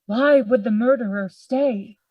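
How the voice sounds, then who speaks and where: A woman playing a sober, rational Victorian gentleman in a Gothic radio play, speaking formally. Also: on the high side, no room sound, muffled recording. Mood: puzzled, measured. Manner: formal, measured, reasoning.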